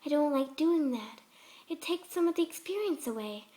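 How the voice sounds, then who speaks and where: A woman voicing a sweet, soft-spoken but twisted female pegasus pony surgeon, gentle and cooing in a story reading. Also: sits high, animated, moderate pace, voice pushed up. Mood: sweetly apologetic. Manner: gentle, cooing, serenely unhinged.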